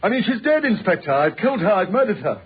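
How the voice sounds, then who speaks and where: An actor playing a distraught British novelist in an old radio drama, agitated and stammering, with a strained, husky voice. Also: male voice, high voice, sing-song, fast, full character voice. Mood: desperate, agitated. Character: distraught, confused male novelist confessing to murdering his wife. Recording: muffled old radio recording.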